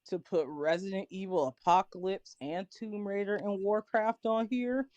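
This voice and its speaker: A woman's voice: mid-range voice, fairly even intonation, normal speed, darkish tone, no echo, lo-fi sound. A woman chatting casually as a podcast panelist, giving blunt, emphatic opinions in a heated group discussion.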